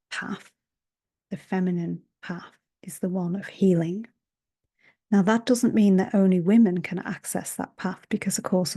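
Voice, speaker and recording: Soft-spoken woman with a sore throat. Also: medium pitch. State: slightly raspy sore throat. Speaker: hypnotherapist and healer. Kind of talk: spiritual talk to camera. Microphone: earbud mic.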